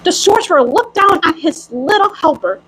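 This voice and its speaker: A woman with an extremely high, lively voice tells a story and chats in character. She plays at being a cartoon mouse girl, with a childlike, playful manner and animated swings in pitch. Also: moderate pace, poor audio. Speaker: storyteller in costume. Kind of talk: in-character storytime reading and chat.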